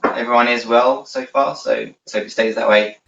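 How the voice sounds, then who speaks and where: A man's voice: mid-range voice, fairly even intonation, moderate pace, vocal fry, noisy background. A man from London with a London accent, chatting casually as an interview guest. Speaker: interview guest, dance instructor. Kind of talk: casual chat. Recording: roomy, distant, dull lo-fi audio.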